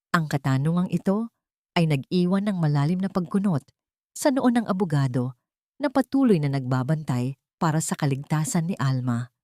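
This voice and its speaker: A woman's voice: low-pitched, warm tone, no echo, studio quality. A woman with a Filipino accent reading a scripted, dramatic story as a storyteller.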